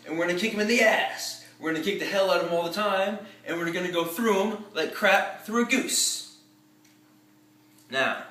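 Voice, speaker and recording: A man performing a theatrical war-movie monologue as a tough, commanding American army general, emphatic and deliberate with dramatic pauses. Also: fairly high pitch, sharp tone, a little hiss or hum. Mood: proud, gruff. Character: tough, profane American army general rallying his troops. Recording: roomy echo, distant microphone.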